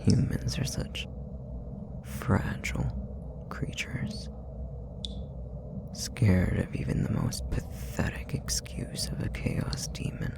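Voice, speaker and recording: A man voicing a possessive, sadistic demon in an ASMR roleplay, whispering slowly with a breathy creak and taunting, mock-affectionate menace. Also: close to an everyday voice. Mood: mocking, goading.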